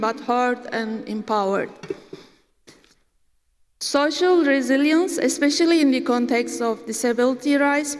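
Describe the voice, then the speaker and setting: A woman delivers an earnest prepared address at a forum. Her voice sits high. A long hall reverb trails her words. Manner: earnest, reads from notes with small slips. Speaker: forum speaker. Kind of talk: prepared speech. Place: large conference hall. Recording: echoey conference hall.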